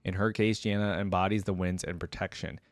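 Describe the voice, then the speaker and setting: A man's voice: low-pitched, steady tone, a little fast, no room sound. A man hosting a reaction video, reading aloud and musing in casual conversational asides.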